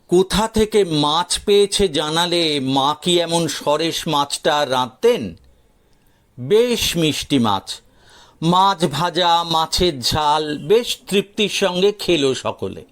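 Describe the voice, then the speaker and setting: A man's voice: a little high, lively intonation, takes it slowly, a bit bright. A man narrating a folk tale as a storyteller, in a dramatized audio story style.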